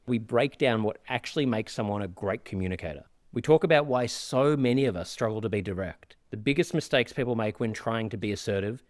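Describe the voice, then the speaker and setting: A man hosting a podcast, reading an earnest, measured introduction and then chatting with his guest. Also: mid-range voice, dry recording, studio quality. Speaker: podcast host. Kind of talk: scripted podcast intro, then casual interview.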